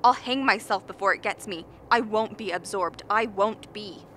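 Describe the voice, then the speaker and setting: A woman's voice: medium pitch, normal speed, natural voice. A woman playing a paranoid, defiant, agitated expedition member in a radio play. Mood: frantic, furious. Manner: agitated, suspicious, shouting outbursts. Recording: faint background noise.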